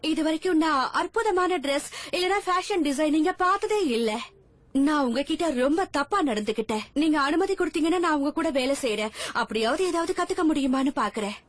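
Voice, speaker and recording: A woman's voice: high-pitched, expressive, a little fast, dry recording. A woman voicing a remorseful young fashion rival in a dubbed cartoon, speaking in a humble, pleading way. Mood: apologetic.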